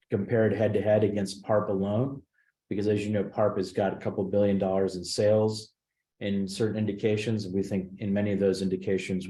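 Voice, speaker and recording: Executive man, low and warm, measured answers on an earnings call, roomy sound. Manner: measured, explanatory, with frequent ums. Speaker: company executive answering analyst questions.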